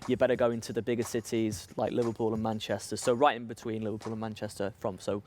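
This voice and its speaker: A man's voice: on the low side, flat delivery, fast talker, a little mellow. Man with a northern English accent. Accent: northern English (Cheshire). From England. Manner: hesitant, repeats words while thinking. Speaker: co-host. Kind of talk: casual football chat.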